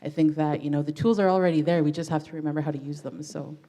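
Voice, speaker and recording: A woman from Ontario speaks on a panel in a notably low voice. She talks earnestly and personally, with the odd filler. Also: steady tone, quick pace, warm tone. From Ontario, Canada. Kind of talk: panel introduction.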